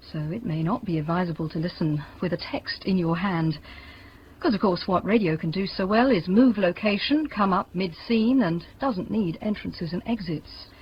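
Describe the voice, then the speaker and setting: Measured woman presenter, muffled noisy recording. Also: mid-range voice. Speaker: presenter introducing a radio play. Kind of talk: scripted introduction. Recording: dull, noisy radio recording.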